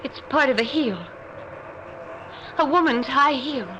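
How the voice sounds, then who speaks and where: A woman's voice: high-pitched, measured pace, highs cut off. A woman playing an anxious, suspicious new wife in a 1940s radio drama, speaking hesitantly and faltering over her words. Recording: noisy old-time radio recording.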